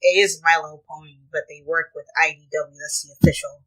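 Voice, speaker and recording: A woman's voice: low-pitched, level tone, brisk, a bit bright, low-quality recording. A woman hosting a reaction video, commenting casually in hesitant, piecemeal phrases.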